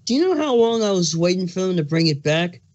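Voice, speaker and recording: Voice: mid-range voice, big pitch swings, fast talker, darkish tone, lo-fi sound, close to an everyday voice. Someone voicing a cranky pregnant changeling king. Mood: exasperated. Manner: ranting, exasperated, emphatic swearing. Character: hormonal pregnant male changeling king, cranky and foul-mouthed. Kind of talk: fan fiction dramatic reading, cartoon characters.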